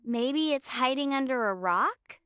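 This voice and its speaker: A brave, kind little princess, played by a woman for a bedtime story. The voice is very high and bright, speaking slowly and earnestly with sweet reassurance. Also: animated, clear, resonant tone, dry recording. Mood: curious, eager. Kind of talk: children's bedtime story character voice.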